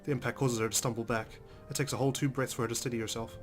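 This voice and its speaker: A man's voice: mid-range voice, steady tone, racing. A man hosting a let's play, reading visual novel narration aloud dramatically with casual, emotionally involved comments. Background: game music.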